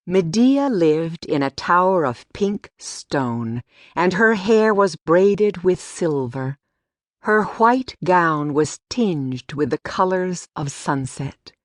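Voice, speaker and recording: A woman narrating a storybook audiobook in an expressive, deliberate way. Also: on the low side, dry recording, studio quality.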